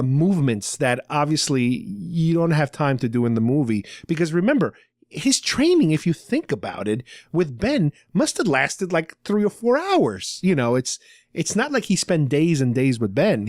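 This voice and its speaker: Animated man explaining in a dry-sounding room. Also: on the high side, normal speed, dry recording. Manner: explanatory, conversational. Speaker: podcast host. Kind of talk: casual fan discussion with a co-host.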